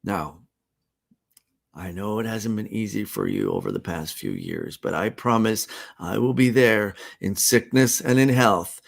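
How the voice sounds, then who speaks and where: A man's voice: fairly low pitch, creaky, exaggerated voice. A man reading aloud, voicing a devoted husband who teases in a mock-formal way. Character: devoted husband, joking and tender.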